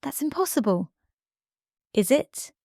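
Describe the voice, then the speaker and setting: Female narrator as bold, wary woman artist in storybook romance. Questioning, direct, slightly high pitch. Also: sing-song, a little slow, mellow, no room sound, studio quality, heavily acted. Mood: disbelieving. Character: skeptical, bold young woman artist, wary but fascinated.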